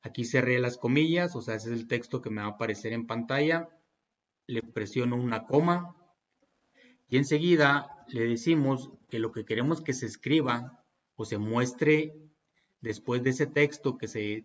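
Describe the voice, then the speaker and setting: A man's voice: mid-range voice, dull audio. A man teaching programming in a tutorial, walking through each step patiently with fillers and self-corrections. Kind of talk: screen-recorded tutorial walkthrough.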